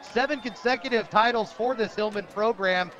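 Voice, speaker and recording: A man with an American accent calling a live high school football game with an emphatic, excitable sportscaster delivery. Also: normal speed, highs cut off, some chatter behind, slight background noise. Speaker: play-by-play radio announcer. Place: outdoor football stadium broadcast.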